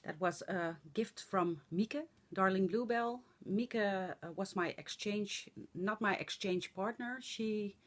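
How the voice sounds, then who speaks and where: A middle-aged woman with a Dutch accent, speaking English as a second language, chatting in a hoarse voice to camera in a rambling, halting way with many fillers. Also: mid-range voice. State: hoarse from laughing and talking. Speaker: flosstube vlogger. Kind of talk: casual chat to camera.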